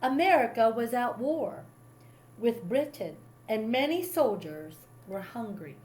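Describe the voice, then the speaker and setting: Expressive higher-voiced woman reading a story aloud. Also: a little slow, a little hiss or hum. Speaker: teacher reading a picture book to a class.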